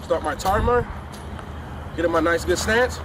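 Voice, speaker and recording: A man coaching drills outdoors in a relaxed, slangy way, his voice congested from illness. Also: high voice, a little slow. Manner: laid-back and coaching, street slang. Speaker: firearms instructor. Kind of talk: casual instructional vlog. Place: outdoors on a hilly shooting range, rain starting. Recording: outdoor background noise with passing traffic.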